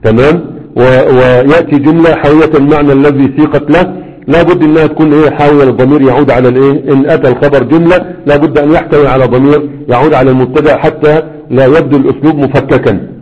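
A man's voice: on the high side, fairly even intonation, very fast, lo-fi sound. A man with an Egyptian accent teaching Arabic grammar in a lecture, often quizzing his students with rhetorical prompts. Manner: questioning, prompts students with "what?". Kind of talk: classroom lecture explaining verse.

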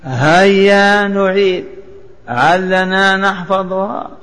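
A man's voice: high-pitched, takes it slowly, a bit bright. A man teaching a religious lesson, chanting the text in a sing-song and having it repeated. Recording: long hall reverb.

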